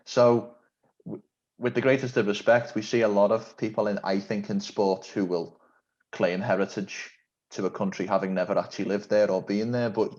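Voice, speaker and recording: A man's voice: lowish voice, steady tone, moderate pace, darkish tone, muffled recording. A man hosting a video-call interview, asking questions in a polite, formal and complimentary way. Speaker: interview host.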